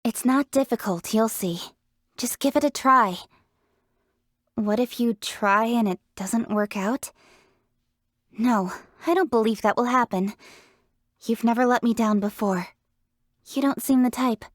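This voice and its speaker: Fantasy game role: proud, hardworking young female leader, highish voice, articulate and self-assured, dry close studio sound. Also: a little slow, pauses often, dry recording. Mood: encouraging. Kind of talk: scripted video game character voice lines.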